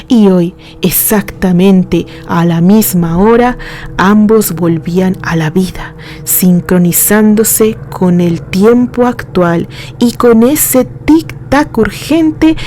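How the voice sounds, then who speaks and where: A woman narrates a romantic tale in a soft-edged, mellow voice. She takes her time, shaping phrases expressively with dramatic pauses. The sound is close and dry. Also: mid-range voice, no room sound. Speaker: story narrator. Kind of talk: dramatic scripted audiobook narration of a romantic story.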